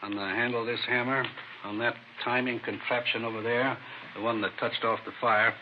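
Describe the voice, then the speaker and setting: An actor playing a matter-of-fact police lab technician, reporting tersely and factually in a vintage radio crime drama. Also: male voice, medium pitch, little pitch movement, moderate pace, natural voice. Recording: dull, noisy old radio recording.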